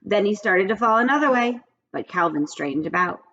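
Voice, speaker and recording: A woman reading aloud to children in an expressive, friendly storytelling style. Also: medium pitch, brisk, highs cut off. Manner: friendly, addressing children directly. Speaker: read-aloud teacher.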